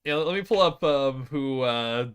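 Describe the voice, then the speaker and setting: A man chatting casually as a podcast co-host, with a halting, hedging way of giving opinions. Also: medium pitch, fairly bright tone, smooth. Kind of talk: casual group chat.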